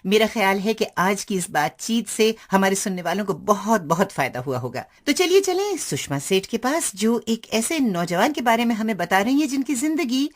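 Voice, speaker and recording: A woman's voice: medium pitch, big pitch swings, normal speed, few pauses. An Indian woman hosting a radio magazine show, presenting scripted links between segments.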